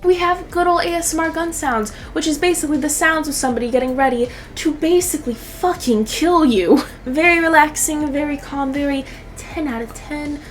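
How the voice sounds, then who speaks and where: A woman's voice: high-pitched, moderate pace, faint music behind. A young woman giving casual, sweary, joking reaction commentary full of slang, as a YouTube commentator. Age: young adult.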